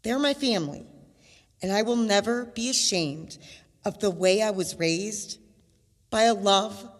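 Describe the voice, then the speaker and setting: Slow, reverberant woman reciting slam poetry. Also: medium pitch. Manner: measured rhythmic cadence with dramatic pauses and emphatic repetition. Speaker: spoken word poet. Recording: long reverb on the voice.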